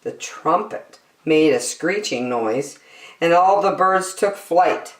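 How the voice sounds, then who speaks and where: A woman with a lowish voice reads a children's story aloud. She is theatrical and unhurried, with very lively intonation. The sound is roomy and echoey. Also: far from the mic. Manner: theatrical, deliberate phrasing. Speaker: storytime reader. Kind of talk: children's picture book read-aloud.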